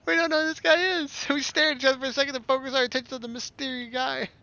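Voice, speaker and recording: A man's voice: very high-pitched, level tone, a little fast, pushed and bright, no echo, highs cut off. A man reading a visual novel aloud as a gaming host, adding casual, joking asides. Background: game music.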